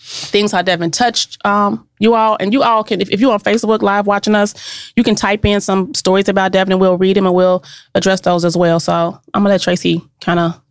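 A woman's voice: mid-range voice, little pitch movement, brisk, no echo. Nasal stuffed-up woman hosting a podcast, chatty, dry close sound. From Cincinnati area. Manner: warm, chatty, hesitant with fillers. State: congested, nasal. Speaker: podcast co-host. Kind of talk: casual podcast chat.

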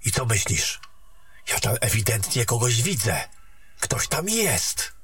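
A man playing a young, uneasy and curious warrior companion in a fantasy audio drama, expressive and questioning. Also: medium pitch, slow pace, slight background noise. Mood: uneasy, alert. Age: young adult.

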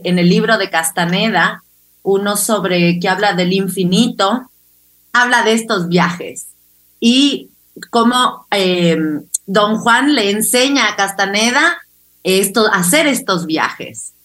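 A woman's voice: medium pitch, bright, forceful tone. A woman from Ecuador, telling personal stories conversationally as an interview guest. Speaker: interview guest, clinical psychologist. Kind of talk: conversational storytelling.

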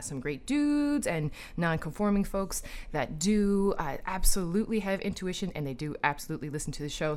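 A woman hosting a talk show, speaking in an enthusiastic, rambling way with many fillers and restarts. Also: deep voice. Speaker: podcast host. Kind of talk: casual spiritual talk, guided breathing.

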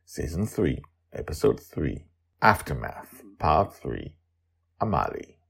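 A man hosting and narrating a fiction podcast with animated, swooping emphasis. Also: a bass voice, slow, vocal fry, breathy edge. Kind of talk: storybook narration.

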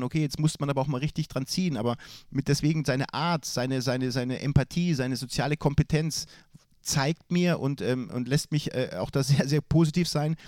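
A man's voice: medium pitch, a little mellow, no echo, clean studio sound. A man with a cold, answering interview questions about his club's coach hesitantly, with many fillers and restarts. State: has a cold. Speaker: football club sporting director.

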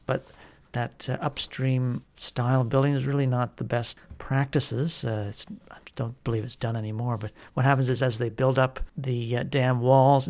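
A man with a mid-range voice hosts a trade news podcast. He talks through the news in a measured, informative way, pausing now and then on fillers. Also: medium pitch, creaky, a little mellow. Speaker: podcast host and editor.

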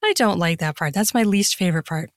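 A woman's voice: on the low side, fast talker, dry recording, studio quality. A woman talking casually and chattily as an interview guest, expanding freely on her answers. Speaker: podcast guest, erotica author and audiobook narrator.

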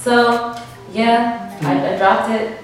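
A woman's voice: on the high side, fairly even intonation, slow, recorded from a distance, soft background music. A woman in her twenties from the DC area, a guest speaking candidly in casual conversation with lots of likes and restarts. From DMV area, US. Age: 20s. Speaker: podcast guest, model and DJ. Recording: roomy echo, from off-mic.

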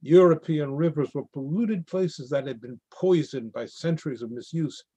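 A man giving a measured, solemn dramatic reading of a monologue over a video call. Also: fairly high pitch, mellow, slightly rough. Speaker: reader in a readers theatre.

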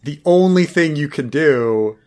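A man's voice: a little high, animated, unhurried, a little mellow. A man co-hosting a podcast, chatting casually and rambling with many fillers and restarts. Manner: laughs while joking. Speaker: podcast co-host. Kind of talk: casual review chat.

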